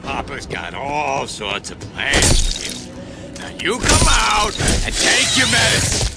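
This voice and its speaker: A deranged villain in a video game, a man shouting mock-fatherly threats. His high, gravelly voice swoops wildly as he taunts. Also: wildly swooping pitch, takes it slowly, heavily acted. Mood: menacing, taunting. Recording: loud music over the voice.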